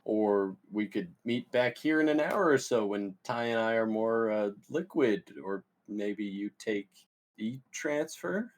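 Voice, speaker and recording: A man playing an awkward, excitable young stoner, cocky and bumbling, in a comedy script read. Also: fairly low pitch, unhurried. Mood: awkward wheedling. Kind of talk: comedy screenplay table read.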